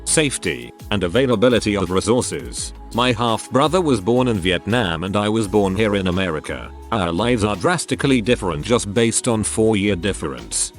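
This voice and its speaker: A man reading out online stories as a narrator, in a flat read with oddly placed pauses and stresses. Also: lowish voice. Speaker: narrator of reddit posts.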